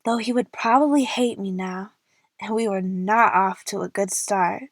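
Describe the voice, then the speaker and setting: A woman's voice: medium pitch, moderate pace, no room sound, studio quality, lightly acted. A young woman playing an eager, chatty movie fan who narrates her adventure in the first person for an audio drama. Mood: reflective narration.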